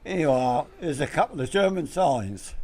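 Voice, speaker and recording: A man playing a brash, cheeky, loud working-class porter with a gravelly voice in an amateur radio comedy. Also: a little high, lively intonation, normal speed.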